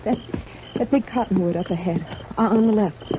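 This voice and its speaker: A woman's voice: medium pitch, moderate pace, natural voice. Troubled frontier woman on muffled old radio played by an actress. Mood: anguished. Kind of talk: 1950s radio western acting. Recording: muffled, slightly noisy 1950s radio recording.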